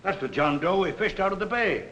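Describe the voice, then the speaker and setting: Flustered, high-voiced morgue attendant. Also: male voice, expressive, a little fast, a bit bright, lo-fi sound. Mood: flustered, defensive. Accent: American. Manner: folksy, ungrammatical. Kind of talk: 1940s Hollywood film acting. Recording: echoey room, faintly hissy old film.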